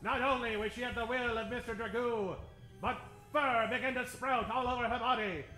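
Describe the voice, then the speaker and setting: A man's voice: very high-pitched, fairly even intonation, normal speed. A man narrating a comic old-time radio serial in a dramatic, tongue-in-cheek way. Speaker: narrator, adventure host. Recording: echoey stage, music behind.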